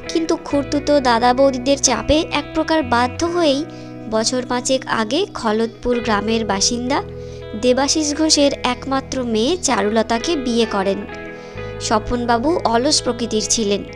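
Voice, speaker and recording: A woman narrates a scripted story. Clear background music plays behind her storytelling. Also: fairly high pitch.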